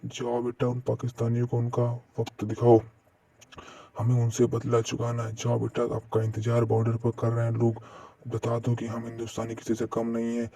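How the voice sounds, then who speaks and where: A man's voice: mid-range voice, completely flat delivery, normal speed, vocal fry, mellow, no echo, recorded from a distance, pitched below the performer's own voice. A man voicing a fatherly, patriotic and vengeful villager in a cartoon story, exhorting the listener. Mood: urging. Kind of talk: amateur Hindi cartoon story dialogue.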